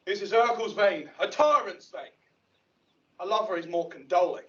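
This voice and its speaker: An actor playing a conceited, eager weaver who declaims pompously in a Shakespeare audio drama. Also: male voice, high-pitched, normal speed, bright, forceful tone. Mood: boastful. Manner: pompous, bombastic, theatrical declaiming. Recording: dull lo-fi audio drama playback, distant.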